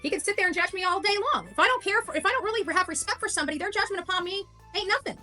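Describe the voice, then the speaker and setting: A woman's voice: very high voice, very fast, sharp tone, soft background music. A woman talking in an informal livestream monologue, defiant, emphatic and combative. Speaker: livestreamer covering a case.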